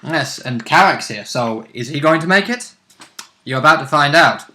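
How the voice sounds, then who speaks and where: A man with a somewhat high, bright voice chats casually as a co-host. He talks informally, as a tabletop player would among friends. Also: expressive, moderate pace. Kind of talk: casual chat.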